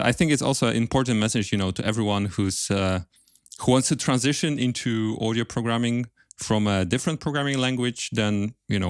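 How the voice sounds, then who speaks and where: A man hosting a casual podcast interview, speaking in a halting way and repeating words as he forms his thoughts. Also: fairly low pitch, clear, resonant tone, dry recording, studio quality. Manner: hesitant, halting, repeats words while forming questions.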